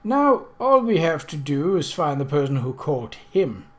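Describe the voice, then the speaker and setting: A man voicing a composed, gently teasing gentleman investigator in an audiobook, measured and matter-of-fact. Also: fairly high pitch, very animated.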